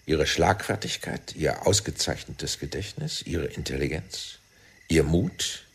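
A man acting a shrewd, persuasive intelligence team leader in a German radio play, speaking deliberately and insistently. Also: a bass voice, lively intonation, vocal fry, slightly rough, exaggerated voice. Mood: smooth, persuasive.

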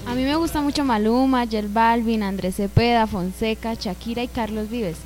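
A woman's voice: a little high, normal speed, music in the background, lightly acted. A woman playing a chatty, insistent young woman who loves modern pop, in an amateur radio drama.

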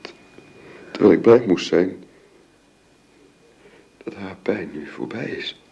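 An actor in a Dutch radio drama playing a gentle husband and father, speaking tenderly and haltingly in a hushed way. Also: sits low, expressive, vocal fry, breathy edge, lo-fi sound, full character voice. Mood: grieving, exhausted. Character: grieving gentle husband and father at his wife's deathbed.

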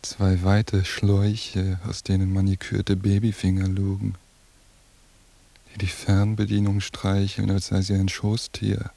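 An actor playing a cold, contemptuous surveillance watcher in a radio drama monologue, with a detached, deliberate delivery and a husky voice. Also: male voice, low voice, heavily acted. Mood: cold disgust. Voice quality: gravelly, dark, husky. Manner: slow, flat, detached, deliberate. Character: cold, contemptuous male surveillance watcher describing his target.

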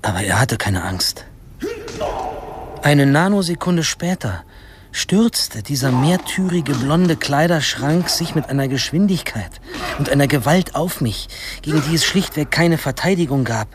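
A man's voice: a little high, animated, measured pace, noisy background. An actor playing a teenage boy who narrates his misadventures in a radio drama, in a wry and dramatic storytelling manner. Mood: wry suspense.

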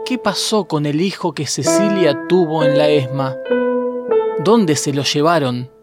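A man's voice: on the high side, measured pace. A man with an Argentine accent reading scripted documentary narration for a podcast. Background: faint piano music.

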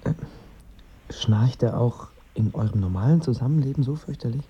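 Ein Schauspieler spielt in einem Hörspiel einen zögerlichen, verlegen ausweichenden Liebhaber. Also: male voice, mid-range voice, normal speed, mellow, breathy edge, muffled recording. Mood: awkward, hesitant. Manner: hesitant, awkward. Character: hesitant male lover, awkward and evasive. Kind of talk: radio drama acting.

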